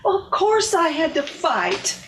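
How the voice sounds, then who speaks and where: A woman's voice: very high voice, sing-song, unhurried, fairly bright tone, full character voice. A woman playing a scornful, combative wife airing old grievances in a sardonic, emphatic dramatic monologue reading. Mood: indignant. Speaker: actor in a readers theater performance.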